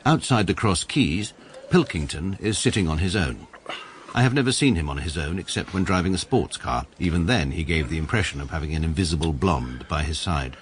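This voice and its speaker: A pedantic British barrister. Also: male voice, sits low, animated, brisk, a little hiss or hum. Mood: dry. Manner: precise, dry, pedantic. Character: pompous middle-aged barrister narrating his confession. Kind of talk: first-person radio narration.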